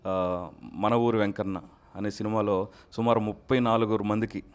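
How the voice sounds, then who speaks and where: A man's voice: lowish voice, level tone, normal speed, clear, resonant tone. A man speaking conversationally as an interview guest, explaining his work. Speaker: guest, mimicry artist.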